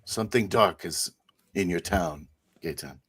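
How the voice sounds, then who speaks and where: A man acts out a fervent priest in a tabletop roleplaying game. His voice is very deep and unhurried. He speaks with solemn, portentous weight, as if guarding secrets. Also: a bit bright, slightly rough, dry recording, clean studio sound, exaggerated voice. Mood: grave, secretive. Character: fervent, secretive male priest claiming holy visions.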